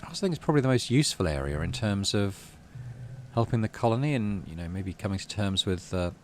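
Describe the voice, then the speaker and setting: Deep-voiced man doing game commentary. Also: expressive, quick pace, slight background noise. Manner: thinks aloud while playing. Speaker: gaming channel host playing a visual novel. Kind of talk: casual let's play commentary, reading story text aloud.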